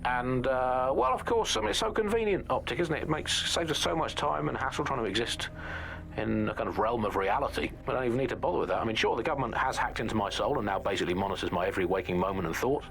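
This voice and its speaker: A man's voice: medium pitch, lively intonation, fast talker, gravelly, fairly bright tone. A middle-aged British man playing a wry, sardonic pirate radio host who delivers rambling political satire.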